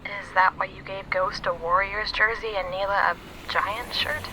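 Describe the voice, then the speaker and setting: A man's voice: high voice, steady tone, unhurried, background noise, voice pushed up. A man voicing an impatient, needling young prisoner on death row. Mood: irritated.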